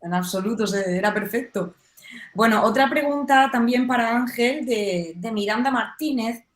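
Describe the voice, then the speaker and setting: A woman's voice: on the high side, normal speed. Spanish woman moderating, echoey room. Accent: Peninsular Spanish. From Spain. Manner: friendly, formal wrap-up with fillers. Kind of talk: moderating an online panel.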